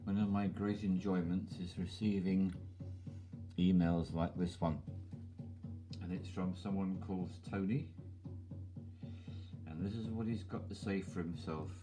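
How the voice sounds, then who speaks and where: A man's voice: low-pitched, steady tone, a little slow, long pauses. A man hosting his channel, reading a listener's email aloud over music. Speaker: channel host.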